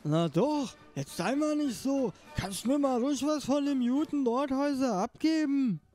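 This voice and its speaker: An actor playing a drunken, boorish grown son in a parody audio drama, rambling and crude with bursts of pomp. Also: male voice, very high voice, expressive, moderate pace, warm tone, soft background music. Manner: crude, rambling, stumbling over words. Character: drunken, boorish grown son, slurring, sometimes pompously poetic.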